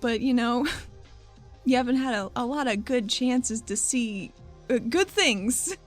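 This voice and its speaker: A woman improvising in-character dialogue as a player in a tabletop role-playing show, halting and confiding. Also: fairly high pitch. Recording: music bed behind the voice.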